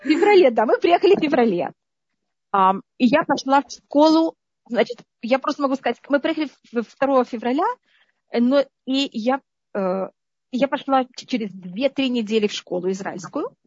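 A woman who grew up in the Soviet Union, a guest telling stories, lively and often asking her listeners whether they understand. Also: high-pitched, normal speed, no echo. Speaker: interview guest. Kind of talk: conversational storytelling. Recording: dull lo-fi dry sound.

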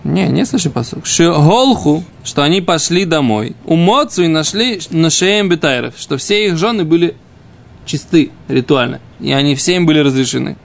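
Man, Talmud teacher, somewhat high pitch, gravelly fry, halting explanations, repeated phrases, informal study lesson. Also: lively intonation.

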